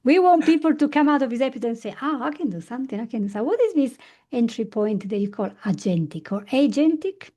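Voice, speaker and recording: A woman from Italy, hosting a podcast interview and asking curious, lively follow-up questions. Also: sits high, fast talker. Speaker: podcast host. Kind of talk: conversational interview.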